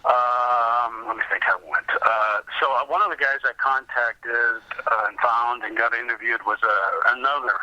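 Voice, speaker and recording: A man telling anecdotes as a casual interview guest, halting and rambling as he recalls details. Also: mid-range voice, fairly even intonation, dry recording, dull audio. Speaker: podcast guest, comics researcher.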